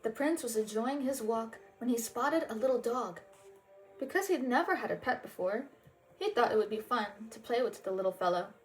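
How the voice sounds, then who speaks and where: A woman's voice: on the high side, animated, moderate pace, soft background music, poor audio. A woman narrating a children's storybook as a read-aloud. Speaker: storybook reader.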